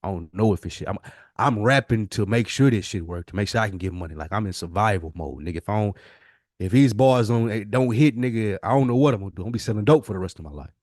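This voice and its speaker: A man's voice: lowish voice, animated, fast talker, a little mellow, no echo, clean studio sound. An American man co-hosting a podcast, talking casually with heavy slang, swearing and emphatic phrasing. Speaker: podcast co-host. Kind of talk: casual conversation.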